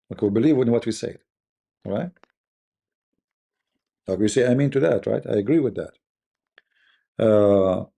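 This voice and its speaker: A man's voice: medium pitch, creaky, mellow, slightly rough. A man with an Arabic accent, speaking as host of a live debate stream in a blunt, scornful manner.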